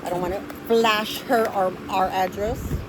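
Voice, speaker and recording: A woman with an excitable, gushing way of talking, chatting casually as she hosts an unboxing vlog. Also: measured pace, background noise. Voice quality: high voice when excited. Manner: gushing, effusive, easily excited. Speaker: vlogger, host of an unboxing channel.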